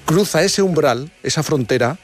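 A man's voice: fairly high pitch, lively intonation, moderate pace, soft background music. A man hosting a talk radio show, explaining a study in a conversational way with small restarts. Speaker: radio host.

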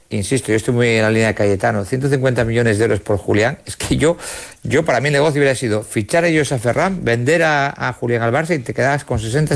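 A man's voice: medium pitch, creaky. A man giving blunt, insistent opinions as a radio sports pundit. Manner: blunt, insistent, repeats himself.

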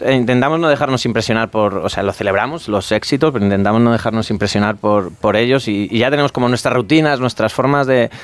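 Smooth-voiced Spanish man, reflective interviewee. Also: medium pitch, no echo. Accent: central Spanish. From Spain. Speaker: band musician being interviewed. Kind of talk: casual group interview.

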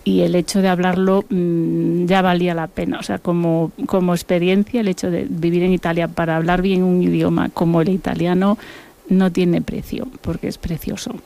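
A woman from Buenos Aires, a musician and author, talking in a relaxed interview and circling back with repeated words as she thinks. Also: fairly low pitch, moderate pace, vocal fry. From Argentina (Buenos Aires).

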